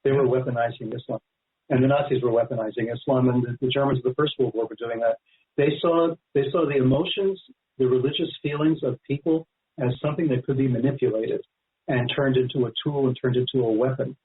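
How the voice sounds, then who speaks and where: A man's voice: mid-range voice, a little fast. Phone-line man explaining emphatically. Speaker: guest author. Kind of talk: radio interview, extended explanation. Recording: narrow-band phone-like line.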